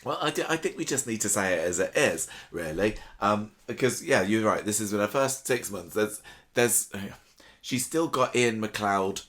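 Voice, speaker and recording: A man with a low, slightly croaky voice hosts a casual podcast. He chats informally about television drama, often restarting and rewording his thoughts as he goes. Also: expressive, moderate pace, fairly bright tone. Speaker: podcast host. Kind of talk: casual chat about a TV soap.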